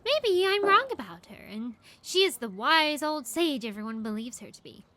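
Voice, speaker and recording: Give the part to a haughty, teasing little girl scientist who is really an old woman. The voice is high and bright, with very lively swings. It is an audio drama with faint noise behind it. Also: exaggerated voice. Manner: teasing, haughty, quick to boast. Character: impish elderly scientist woman in a young girl's body. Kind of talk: fan audio drama acting.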